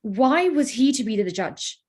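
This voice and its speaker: A woman playing a proud, sharp-tongued young Regency gentlewoman in a staged reading, speaking in pointed, formal phrases. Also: on the high side, animated, unhurried, slightly rough. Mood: probing, tense. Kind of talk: staged reading of a period drama.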